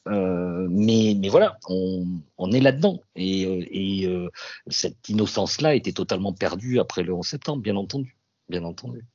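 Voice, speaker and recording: A man with a southwestern French accent, a radio journalist giving reflective answers as an interview guest, halting and restarting as he thinks aloud. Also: low voice, expressive, darkish tone, no echo. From France. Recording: dull, dry lo-fi sound.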